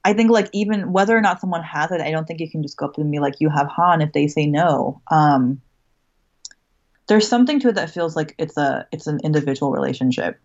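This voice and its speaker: A woman's voice: low voice, fast talker, darkish tone. A woman guest who teaches creative writing, answering thoughtfully in casual talk with lots of "like" and "right?". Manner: thoughtful, uses many "like" and "right?" asides. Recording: muffled remote call audio.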